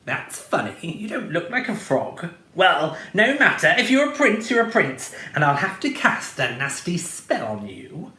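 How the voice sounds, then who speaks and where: A man's voice: sits high, sing-song, a little slow, few pauses, pushed and bright, echoey room, exaggerated voice. A man reading a storybook aloud, voicing a mocking female witch who cackles as she talks.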